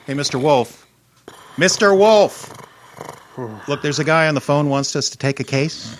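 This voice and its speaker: An actor playing an eager young detective's assistant in an old time radio mystery, chatty, animated and wheedling. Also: male voice, on the high side, measured pace, slight background noise, natural voice. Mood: urgent, pleading.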